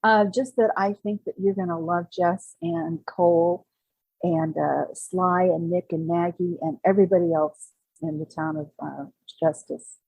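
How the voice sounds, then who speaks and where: A woman's voice: lowish voice, steady tone, a little slow, husky, no echo, muffled recording. A woman in her sixties chatting casually as an author being interviewed on a podcast. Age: 60s.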